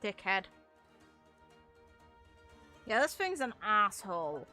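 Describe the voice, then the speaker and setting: A woman's voice: medium pitch, very animated, slow pace, pushed and bright. A woman chatting casually and bluntly on a live game stream, with some swearing. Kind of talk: casual live-stream chat while gaming. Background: video game music.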